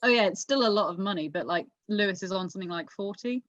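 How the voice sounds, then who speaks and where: A woman's voice: mid-range voice, fast talker, fairly bright tone, no echo. A woman talking casually as a panellist in a motorsport discussion. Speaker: podcast panellist. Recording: dry, slightly dull lo-fi mic.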